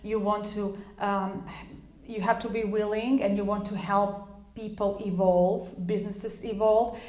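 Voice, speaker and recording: A woman's voice: medium pitch, level tone, unhurried, a little mellow, roomy sound. Chatty woman, Croatian first language. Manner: chatty, many fillers and restarts. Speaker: English teacher. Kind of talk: informal spoken lesson.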